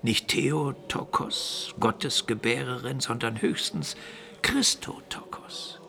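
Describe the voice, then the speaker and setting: Gravelly, frail old bishop. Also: male voice, mid-range voice, pushed and bright, a little hiss or hum, full character voice. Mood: patient, instructive. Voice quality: gravelly, breathy, often dropping to a whisper. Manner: measured, didactic, coughing. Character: elderly sickly medieval bishop, learned, wry, fatherly. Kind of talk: radio play acting.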